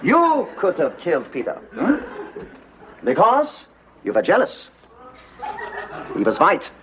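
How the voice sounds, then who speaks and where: A man's voice: high voice, huge pitch swings, slow pace, a loud crowd, full character voice. An actor playing a bullying male police investigator with a heavy German accent, spitting out hard consonants. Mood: sly, mocking. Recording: old TV sitcom, audience laughter and hiss. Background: studio audience laughter.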